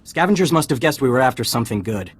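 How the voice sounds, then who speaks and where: An actor playing an army lieutenant in a video game, giving urgent commands. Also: male voice, medium pitch, animated, fast, slightly rough. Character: brisk male Republic army lieutenant. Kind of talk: game cutscene acting.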